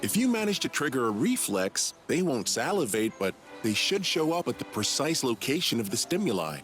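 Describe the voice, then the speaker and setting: A man's voice: medium pitch, very animated, moderate pace, fairly bright tone. A man voicing an eager, cocky young alien inventor who explains things condescendingly in a video game. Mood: eager explaining. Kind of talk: video game cutscene dialogue. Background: background music.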